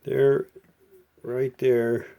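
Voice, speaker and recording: A man's voice: medium pitch, level tone, drawn-out words, creaky, dark tone. A man narrating a hands-on repair unscripted, thinking aloud in a folksy way. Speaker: hobbyist repairer presenting a how-to video.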